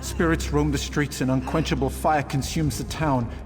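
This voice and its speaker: A man's voice: on the high side, fairly even intonation, normal speed, close to an everyday voice. An actor playing a grim, fearful male village survivor with a grave, dramatic delivery in a fantasy video game. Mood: grim, despairing. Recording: long reverb, music behind the voice.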